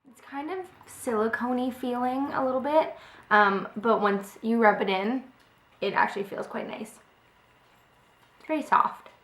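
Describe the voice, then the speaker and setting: A woman's voice: fairly high pitch, measured pace, fairly bright tone. A young woman who is a little sick, chatting casually through a makeup tutorial with frequent ums, filmed on a close webcam in her home kitchen. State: slightly sick, voice a bit off. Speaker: beauty vlogger. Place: home kitchen by a window, indoors. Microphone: webcam at close range. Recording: echoey room sound.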